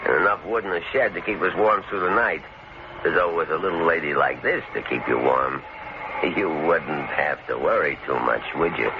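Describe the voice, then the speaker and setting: An actor playing a menacing, crude stranger in an old-time radio thriller, mocking and bossy, with slow insinuation. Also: male voice, extremely low pitch, creaky, exaggerated voice. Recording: muffled, very noisy old radio recording.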